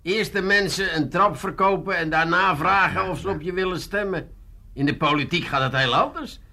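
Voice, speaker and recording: A man's voice: high voice, normal speed, bright, forceful tone, full character voice. A man playing a cynical, opinionated family member in a comic radio play, disdainful and lecturing.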